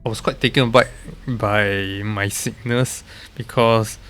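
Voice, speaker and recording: A man with a low, nasal voice commentates casually on his game stream, sounding stuffed up from being sick. He speaks very slowly and haltingly, often trailing off, with music playing behind him. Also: steady tone, fairly bright tone. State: congested, recovering from being sick.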